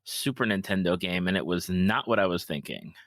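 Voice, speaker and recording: A man in his thirties or forties hosts a casual chat. His voice sits fairly low but has a bright, forceful edge, and the sound is dry and free of room echo. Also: a little fast. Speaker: podcast host.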